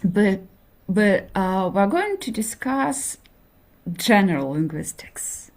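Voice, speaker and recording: Slow, hesitant woman lecturing. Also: mid-range voice, big pitch swings. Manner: hesitant, many fillers, checks in with okay. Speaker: linguistics lecturer. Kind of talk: introductory university lecture.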